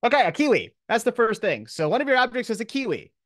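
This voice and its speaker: A man's voice: extremely high pitch, sing-song, fast, a bit bright, no room sound, studio quality. A man giving an informal lecture as a professor, talking in a casual, off-the-cuff, conversational way.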